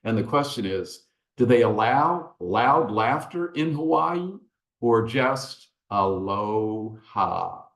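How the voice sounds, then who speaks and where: A man's voice: on the low side, expressive, slow pace, fairly bright tone, echoey room. A man chatting casually and joking, telling a family anecdote. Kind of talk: casual chat.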